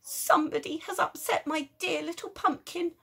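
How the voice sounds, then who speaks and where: Lady doing a fussy doting mum from a kids story, high voice. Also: normal speed, vocal fry, pushed and bright, full character voice. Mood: fretful. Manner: doting, fretful. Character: fussing, doting mummy woman.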